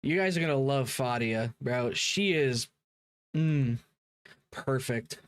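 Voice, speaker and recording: A man's voice: medium pitch, slow, smooth, no echo. A man giving casual live commentary as a streamer, informal and emphatically repeating himself. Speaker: streamer reacting to a game video.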